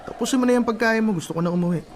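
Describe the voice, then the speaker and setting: An actor playing a pushy, selfish, irritable young husband, curt and insistent, in Filipino radio drama style. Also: male voice, high-pitched, rapid-fire, natural voice. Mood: offhand.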